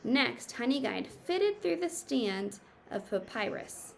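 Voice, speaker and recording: Slow-paced woman reading a storybook aloud. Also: a little high, vocal fry. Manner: expressive storytelling, explains simply to children. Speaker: teacher reading aloud to pupils. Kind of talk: picture book read-aloud with teaching asides.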